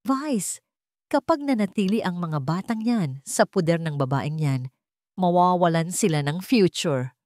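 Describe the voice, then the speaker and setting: A woman acts out a domineering rich older mother in an audio story drama. She scolds imperiously in a Filipino accent, with very mobile pitch. The recording is dry. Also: lively intonation, unhurried, darkish tone, dry recording. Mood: indignant.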